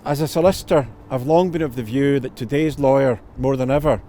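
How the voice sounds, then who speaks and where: A man's voice: medium pitch, fairly quick, warm tone, slight background noise. A man, a solicitor and tutor, giving a scripted promotional testimonial with formal, careful phrasing.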